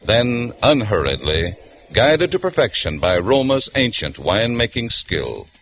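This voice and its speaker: Smooth, lively vintage radio announcer man. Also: mid-range voice. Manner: smooth, persuasive salesman cadence. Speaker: sponsor announcer. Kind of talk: scripted radio commercial.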